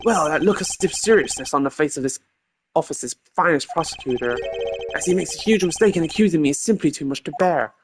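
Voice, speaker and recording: A man's voice: on the high side, animated, brisk, noisy background, close to an everyday voice. A man voicing a smug, slippery prosecutor in a video game, glib and taunting as a suspect. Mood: mocking. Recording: voice over game music.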